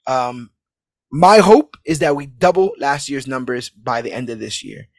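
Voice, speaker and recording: A man's voice: medium pitch, a little slow, dry recording, clean studio sound. A man hosting a podcast, casually rambling through an upbeat outro full of plugs and calls to support the show. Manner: rambling, upbeat, hype-driven promo talk. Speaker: podcast host.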